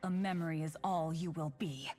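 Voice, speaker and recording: An actress playing a stern, righteous warrior of light in a video game, solemn and commanding. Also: female voice, sits low, steady tone, moderate pace, darkish tone, lightly acted. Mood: solemn, defiant. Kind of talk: scripted video game voice lines.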